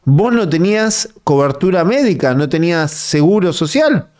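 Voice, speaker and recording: A man's voice: on the high side, big pitch swings, normal speed, darkish tone. A man with a Rioplatense Spanish accent interviewing a guest, blunt and pressing for plain answers. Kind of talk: conversational interview.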